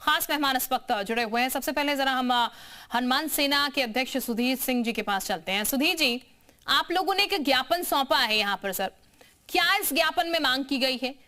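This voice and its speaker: A woman hosts a live television news debate. Her voice sits high and has a bright, pressing edge. She addresses guests formally and moves between them as moderator. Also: expressive, moderate pace.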